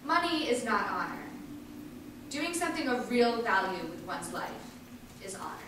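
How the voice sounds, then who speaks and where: Echoey, higher-voiced woman reading publicly. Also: big pitch swings, normal speed. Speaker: reader at a staged public reading. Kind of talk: spoken reading of speeches and introductions. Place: large indoor hall at a public event. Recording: echoey hall from far off, faint noise.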